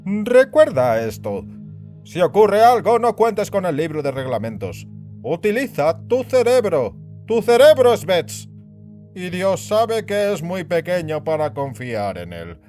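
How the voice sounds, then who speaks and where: An overbearing big boss played by a male narrator in an audio drama. The voice is raised high and swings widely in pitch, lecturing with emphatic, condescending disapproval. Music plays behind it. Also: very animated, measured pace, a little mellow. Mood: stern, condescending. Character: overbearing big burly male institute director, perpetually disapproving.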